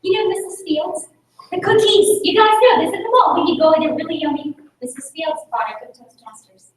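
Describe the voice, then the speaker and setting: A woman from the Philippines, a native Tagalog speaker, giving an energetic talk to a meeting and engaging the audience with rhetorical questions. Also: very high voice, fairly quick. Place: meeting room. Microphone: distant room mic. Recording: echoey room recorded from a distance.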